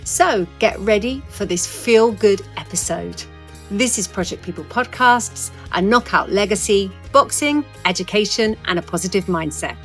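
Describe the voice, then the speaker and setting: A woman's voice: mid-range voice, lively intonation, moderate pace, fairly bright tone, faint music behind. A woman with an English accent hosting a podcast interview, enthusiastic and laughing often. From England. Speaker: podcast host.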